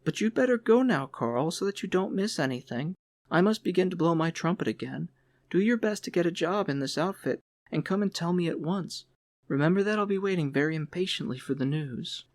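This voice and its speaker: A man's voice: on the high side, lively intonation, fairly quick, no echo, pitched above the performer's own voice. A man voicing an eager, friendly young woman in an audiobook, with a chatty, enthusiastic manner. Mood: friendly, urging. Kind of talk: audiobook character voice.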